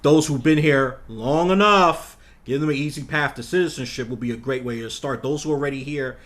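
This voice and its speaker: A man's voice: mid-range voice, brisk, a bit bright. Blunt, rambling man talking politics. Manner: blunt and outspoken; rambling, with frequent restarts. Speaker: podcast guest. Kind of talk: casual conversation.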